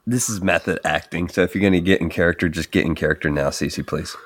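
Very deep-voiced playful podcast host. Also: male voice, fairly even intonation, quick pace, no room sound. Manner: chuckling, playful, stumbles into restarts. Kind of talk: casual podcast chat.

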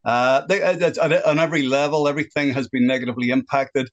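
A man's voice: mid-range voice, steady tone, quick pace, lo-fi sound. A man in his sixties with a Northern Irish accent, a guest on a phone-in radio chat, speaking earnestly and at length about what bothers him. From Northern Ireland. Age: 60s.

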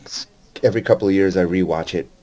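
A man's voice: low-pitched, steady tone, quick pace, dark tone. A man with a Colombian accent, a guest on a casual podcast, telling meandering stories with crude humor.

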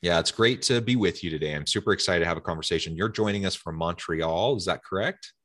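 Deep-voiced, eager podcast host. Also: male voice, quick pace, a bit bright. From United States. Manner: enthusiastic, filler-heavy conversational hosting. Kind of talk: podcast interview.

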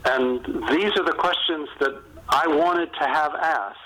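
A man's voice: a little high, measured pace, sharp tone, low-quality recording. A man speaking as an author guest in a conversational podcast interview, telling stories candidly.

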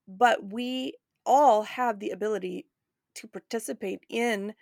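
A woman's voice: medium pitch, measured pace, darkish tone, no room sound, studio quality. A woman hosting a podcast in casual conversation. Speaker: podcast host.

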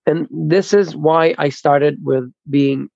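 A man interpreting at a recovery meeting over a video call, halting and restarting his phrases. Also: on the high side, gravelly, a little mellow, no room sound. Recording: dull lo-fi video call audio.

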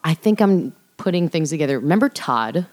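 A woman's voice: deep voice, animated, a little fast, darkish tone. A young woman, a band vocalist, talking casually as a podcast guest, loose and chatty with lots of fillers. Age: young adult. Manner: chatty, filler-heavy, laughs often.

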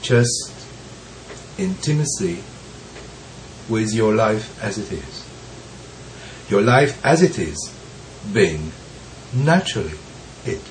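A man gives a teaching talk at a very unhurried pace, and his voice is still deepened from a recent bout of bronchitis. He speaks gently and leaves long pauses between phrases. A faint hiss sits under the recording. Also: big pitch swings, low-quality recording. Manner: slow, pausing, gentle with soft laughs. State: recovering from bronchitis, deep voice. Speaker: Zen teacher. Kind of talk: slow reflective teaching talk.

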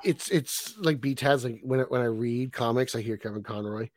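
A man's voice: medium pitch, normal speed, no echo. A man co-hosting a podcast, chatting casually and joking, laughing often. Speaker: podcast co-host. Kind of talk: casual chat.

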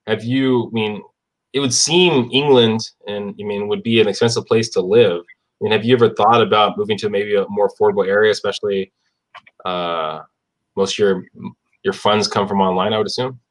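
A man's voice: fairly low pitch, steady tone, normal speed. A man hosting a casual interview, asking loose and meandering questions. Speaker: podcast host.

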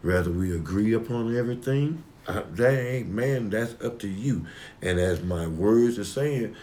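A man with a deep voice talking emphatically in a heated personal conversation. He swears freely, rambles, and slips into a preaching tone. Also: animated, a little slow, mellow, slight background noise.

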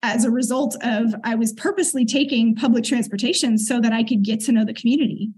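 A middle-aged woman speaks in a slightly husky, breathy voice that sits a little higher than most. She talks in an earnest, reflective way, clearing her throat now and then as she tells her story. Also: fast talker, darkish tone. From United States. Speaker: guest. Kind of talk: conversational interview storytelling.

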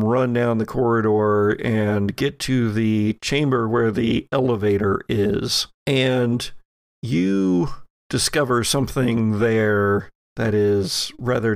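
A man acting as game master, narrating an improvised horror tabletop game in a halting, suspense-building way. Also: medium pitch, gravelly, dry recording, studio quality. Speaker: game master of an actual-play podcast.

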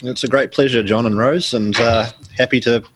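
A middle-aged man with a New Zealand accent, answering interview questions in a measured, explanatory way with frequent ums and restarts. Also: mid-range voice, steady tone, a little hiss or hum. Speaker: guest author.

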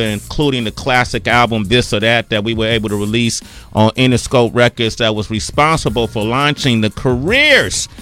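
A male radio host with a smooth, resonant voice and an ordinary middle pitch. He speaks in an earnest, heartfelt manner as he introduces a guest. Hip hop music plays faintly behind him. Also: a bit bright.

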